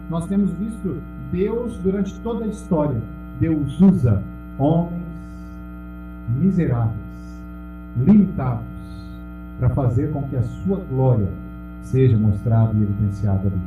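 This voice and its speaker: A man preaching a sermon with a Brazilian Portuguese accent, calling out to the congregation and pressing hard on key words. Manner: emphatic preaching, calls out to the congregation, drops low and loud on key words. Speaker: pastor. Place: church hall. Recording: echoey, from far off, music behind.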